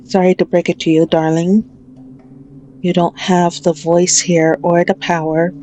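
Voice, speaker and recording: A woman's voice: fairly low pitch, flat delivery, warm tone, a little hiss or hum, lightly acted, low-quality recording. A woman playing a menacing, dominant mafia boss in an ASMR roleplay, mocking and condescending toward a hostage as she speaks. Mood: menacing, commanding. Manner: slow, mocking, condescending, possessive.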